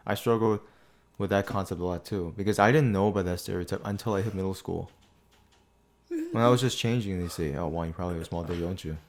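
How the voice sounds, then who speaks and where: A sleepy young man hosting a podcast, thinking before he speaks, with long pauses and restarted words. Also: low voice, a little hiss or hum. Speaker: podcast host. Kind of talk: casual podcast chat.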